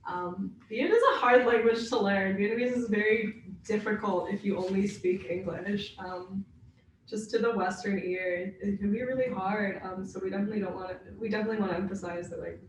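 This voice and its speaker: Young adult co-host from the United States, mid pitch, careful and self-deprecating, far off mic with a faint hiss. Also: steady tone, brisk. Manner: thoughtful, hedging, self-deprecating. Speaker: podcast co-host. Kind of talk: casual podcast chat. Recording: very echoey, distant from mic.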